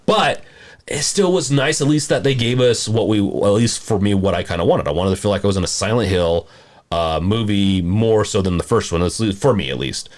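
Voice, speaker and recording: Man, low pitch, podcast host, casual discussion, rambling, halting delivery, frequent rephrasing. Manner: rambling, halting, often rephrasing mid-sentence.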